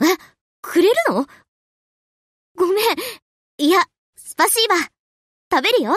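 A cool, tomboyish anime game girl, with a high voice. She is blunt and offhand, speaking in slow, short phrases with a dry, close sound. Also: expressive, a bit bright, no room sound, full character voice. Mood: pleased. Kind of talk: Japanese anime game voice acting.

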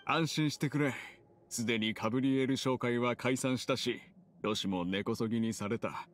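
An actor playing a calm, formal, loyal aide in a Japanese video game. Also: male voice, medium pitch, moderate pace, slight background noise, close to an everyday voice. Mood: reassuring. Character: composed, polite male aide, steady and loyal.

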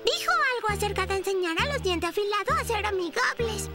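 A woman's voice: very high-pitched, fairly quick, loud music behind the voice. A woman voicing a sweet, caring little girl dinosaur in a children's cartoon, childlike and repeating words for emphasis. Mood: worried. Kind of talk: Spanish-dubbed children's cartoon acting.

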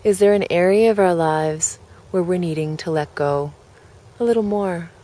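A woman leading a guided relaxation, speaking gently in measured phrases with pauses between them. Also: on the low side. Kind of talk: guided relaxation, meditation narration.

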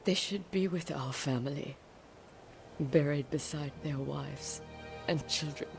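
An actress playing an older samurai noblewoman, grieving and vengeful, who speaks in a grave, measured way. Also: low voice, vocal fry, over music. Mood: mournful. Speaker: voice actor. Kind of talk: dramatic game acting.

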